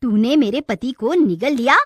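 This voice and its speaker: Woman acting brave cartoon village wife, high pitch, bold, defiant, dry recording. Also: animated, normal speed, no echo. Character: brave, feisty village wife defending her husband.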